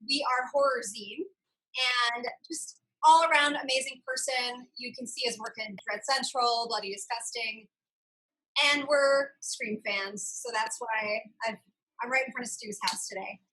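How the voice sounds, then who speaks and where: A woman's voice: fairly high pitch, normal speed, bright, forceful tone, slightly rough, low-quality recording. A woman hosting a casual video chat interview, with an effusive way of talking. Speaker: talk show host.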